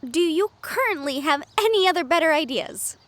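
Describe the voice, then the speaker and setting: A woman's voice: very high-pitched, lively intonation, normal speed, a bit bright, no room sound. An actress playing an anxious, sweary young woman haunted by a ghost, exasperated and conversational in an audio roleplay. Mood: exasperated, pleading.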